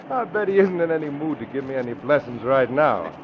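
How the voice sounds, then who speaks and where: An actor playing a cocky, sneering escaped convict in old radio crime drama style, with tough slangy talk and chuckles. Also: male voice, medium pitch, lively intonation, a little fast, natural voice. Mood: gloating. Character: cocky hard-boiled escaped convict gangster, sneering and vengeful. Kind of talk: 1940s radio acting. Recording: muffled, hissy old radio recording.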